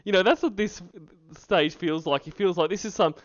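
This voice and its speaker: A man's voice: high voice, normal speed, no echo. A man hosting a livestream, giving casual, sarcastic commentary in a mocking, profane way. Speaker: livestream host reacting to recorded calls. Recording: dull lo-fi stream audio.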